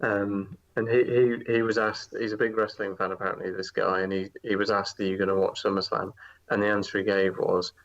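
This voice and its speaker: A man's voice: on the low side, level tone, a little fast, muffled recording. A man guesting on a casual wrestling podcast, explaining his opinions at length.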